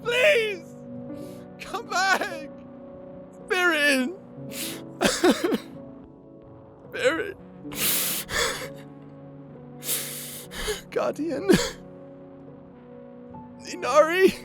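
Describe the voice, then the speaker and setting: An earnest young male wizard underdog , voiced by an actor with a high, very expressive voice. He is insecure and emotional, halting and pleading, in a fantasy audio drama with faint music behind. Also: exaggerated voice. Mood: desperate, pleading. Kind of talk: fantasy audio roleplay acting.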